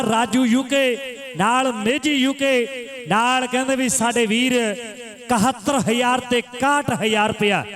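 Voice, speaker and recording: Very high-pitched man commentating kabaddi. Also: a bit bright. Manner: repeats phrases for emphasis. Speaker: kabaddi match commentator and announcer. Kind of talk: live sports commentary with crowd-rousing announcements. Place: live village kabaddi tournament ground.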